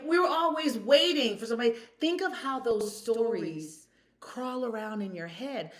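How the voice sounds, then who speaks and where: A woman in her fifties speaking as a podcast guest, emphatic and storytelling, full of rhetorical questions. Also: medium pitch. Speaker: guest, consultant. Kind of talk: podcast conversation.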